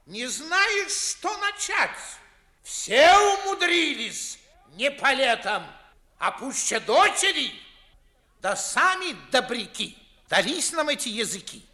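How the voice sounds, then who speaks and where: A man's voice: very high-pitched, sharp tone, full character voice. An older man playing a pompous, grumbling widowed father and official in a 1950s theatrical radio play, scolding in declamatory verse. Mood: angry scolding.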